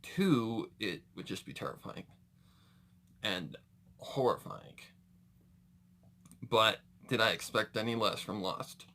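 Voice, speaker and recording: A man's voice: on the low side, expressive, takes it slowly, pauses often, pushed and bright. A man hosting a reaction video with casual, rambling, exclamatory commentary.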